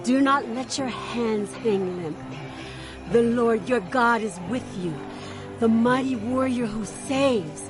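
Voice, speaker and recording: A woman's voice: fairly high pitch, slow, music in the background. A woman playing the voice of a city in a dramatized scripture reading, declamatory in manner. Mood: tender, joyful. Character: female voice of a proud city and comforters.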